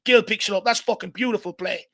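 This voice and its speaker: A hoarse Irish man hosting a fan watchalong, giving excited live commentary and urging the players on. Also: high-pitched, fast talker. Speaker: fan channel host. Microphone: home desk mic.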